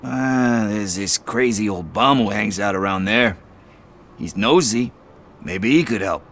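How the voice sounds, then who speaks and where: A scruffy, streetwise informer in a game drama. His voice sits a little low but has a bright, forward edge. He is chatty and sardonic, tossing out slang with a wry, casual manner. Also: a little slow. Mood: confiding. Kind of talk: video game dialogue acting.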